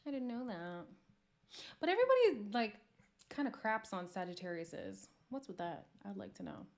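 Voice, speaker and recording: A woman's voice: medium pitch, big pitch swings, normal speed. A woman from Montreal hosting a casual livestream, talking candidly and laughing often as she answers her viewers' comments. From Montreal, Canada. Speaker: livestream host.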